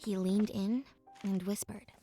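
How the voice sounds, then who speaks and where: A woman's voice: mid-range voice, level tone, measured pace, mellow, close to an everyday voice. A woman narrating a scripted first-person story as a young woman recalling her journey away from home. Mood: reflective. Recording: music under the voice. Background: game music.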